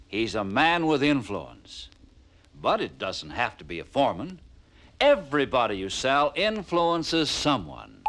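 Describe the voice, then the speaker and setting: A man's voice: medium pitch, fairly bright tone. An American man narrating in 1950s training film style, persuasive and punchy, full of rhetorical questions. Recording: vintage 1950s film soundtrack.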